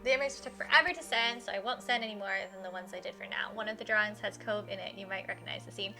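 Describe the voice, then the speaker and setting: A woman streaming a visual novel, reading it aloud and reacting in an enthusiastic, expressive way. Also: mid-range voice, fast talker, over music. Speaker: game streamer.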